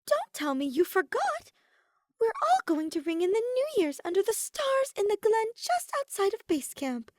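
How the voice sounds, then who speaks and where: A woman's voice: very high-pitched, sing-song, moderate pace, darkish tone, no room sound, clean studio sound, pitched above the performer's own voice. A woman voicing an eager, encouraging young female mage with an emphatic, theatrical way of talking, in a fan fiction reading. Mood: excited, insistent.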